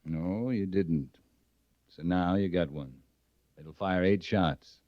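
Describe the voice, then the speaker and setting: A frontier cavalry captain with a low voice. He is measured, terse and commanding, in the manner of fifties Western radio drama. The recording is old and muffled, with very little room sound. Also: dry recording. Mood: stern, measured.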